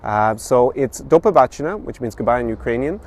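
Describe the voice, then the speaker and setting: A man's voice: mid-range voice, expressive, fairly quick, darkish tone, slight background noise. A man in his thirties vlogging to camera outdoors in a city, giving a casual spoken review with many fillers and restarts. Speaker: travel and language vlogger. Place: outdoors in a city street by a statue.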